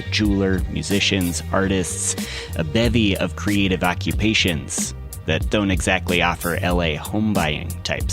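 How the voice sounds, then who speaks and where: A man narrating a scripted documentary podcast in a measured, storytelling way. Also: deep voice.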